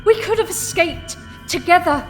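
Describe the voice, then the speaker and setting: An actress playing an earnest, loyal, plain-spoken fleet recruit in a science fiction audio drama. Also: female voice, extremely high pitch, lively intonation, normal speed. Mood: alarmed. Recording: long hall reverb, music behind.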